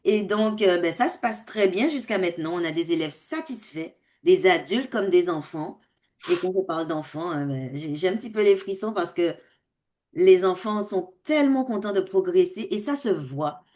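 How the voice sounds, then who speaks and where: Animated woman hosting an online meeting. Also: on the low side. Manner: animated, laughs often, speeds up and slows down. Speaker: host of a parents' club. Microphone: video call.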